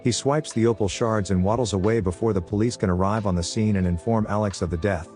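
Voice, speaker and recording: A man's voice: fairly low pitch, fast, a little mellow, soft background music. A man reading a scripted film recap as narrator, with flippant, sardonic asides.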